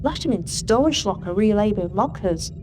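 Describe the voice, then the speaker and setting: A woman's voice: medium pitch, animated, fairly quick, over music, close to an everyday voice. A woman playing a weary, blunt starship master chief in a sci-fi audio drama, with a dry delivery. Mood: grumbling.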